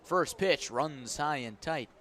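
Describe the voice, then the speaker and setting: Higher-voiced man calling baseball on radio. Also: lively intonation, slow, a bit bright, a little hiss or hum. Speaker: baseball play-by-play announcer. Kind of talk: live radio sports commentary.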